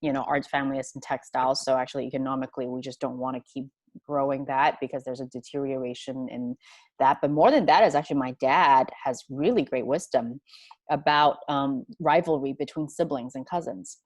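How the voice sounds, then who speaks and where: Panelist: woman from hong kong, low voice, dry close sound, earnest and thoughtful. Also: fairly even intonation, a little fast, a little mellow, no room sound. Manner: earnest, thoughtful, many fillers and restarts. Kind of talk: panel discussion answer.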